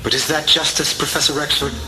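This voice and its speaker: A man's voice: on the high side, little pitch movement, fast, close to an everyday voice, rough recording. An actor playing a brooding, vengeful crimefighter who solemnly tells his own past in a theatrical comic-book drama. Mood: earnest. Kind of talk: dramatic first-person comic narration. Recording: loud music under the voice. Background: dramatic music.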